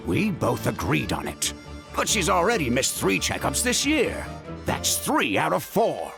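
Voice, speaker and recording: Gravelly, worried middle-aged father. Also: fairly high pitch, wildly swooping pitch, unhurried, full character voice. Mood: stern, exasperated. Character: gruff, worried middle-aged father, stern but caring. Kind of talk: video game voice acting. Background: background music.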